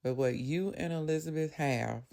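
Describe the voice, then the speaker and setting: A woman voicing an older male pastor who counsels slowly and gravely. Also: low voice, dark tone. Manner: slow, weighty counsel.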